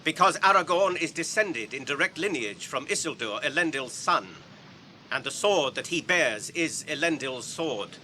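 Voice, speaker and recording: Casting: earnest, dignified English halfling traveller, bright forthright voice, formal radio-drama diction, faint noise behind. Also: male voice, a little high, expressive. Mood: firm, measured. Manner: measured, articulate, formal. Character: earnest, courteous hobbit traveller, guarded and dignified. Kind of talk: BBC radio drama acting.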